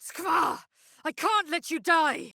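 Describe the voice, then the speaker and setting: A woman's voice: very high-pitched, slow, pushed and bright, no echo, studio quality, full character voice. A woman playing a fierce, proud alien warrior in a video game, haughty and clipped, with formal, disdainful phrasing. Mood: fierce battle cries. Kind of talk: video game character voice lines.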